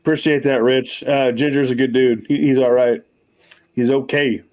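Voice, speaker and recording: A man's voice: mid-range voice, fairly even intonation, moderate pace, darkish tone. A man in his forties hosting a casual livestream, rambling and swearing, often mocking himself. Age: 40s. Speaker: livestream host.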